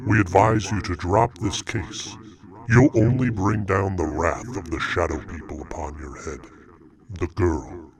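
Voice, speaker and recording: Very low, menacing shadow spirit. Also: male voice, darkish tone. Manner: commanding, threatening, formal. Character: menacing supernatural shadow being speaking for its kind. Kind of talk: old-time radio horror acting.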